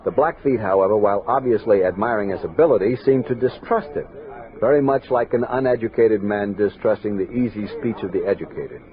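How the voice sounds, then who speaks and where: An actor playing a resolute, watchful army captain who narrates a western radio drama in measured, earnest tones. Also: male voice, on the low side, phone-quality sound, lightly acted. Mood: grave, uneasy. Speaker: narrator and lead character. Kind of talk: 1960s western radio drama acting, first-person narration. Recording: noisy, band-limited 1960s radio recording.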